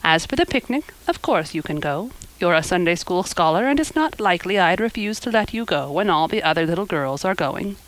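A woman voicing a stern, practical older woman in a storybook, speaking in a brisk, scolding, matter-of-fact manner. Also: lowish voice, expressive, close to an everyday voice, low-quality recording. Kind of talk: audiobook character acting.

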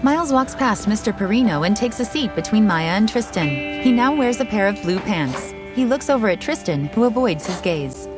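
A woman narrates audio description in a calm, measured voice of middling pitch. Her reading is even and precise. Soundtrack music plays clearly beneath her.

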